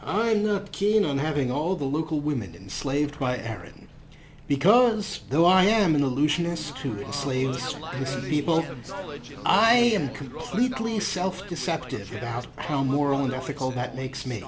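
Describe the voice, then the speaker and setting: A man's voice: on the high side, sing-song, moderate pace, a crowd in the background, low-quality recording. A man hosting a let's play, giving sarcastic, playful commentary and role-playing his character. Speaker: host.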